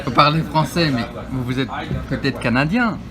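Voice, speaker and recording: A man's voice: mid-range voice, animated, crowd noise. A male vlogger hosting a joking mock interview, teasing and playful, in a busy public place. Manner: playful, teasing, quick to laugh.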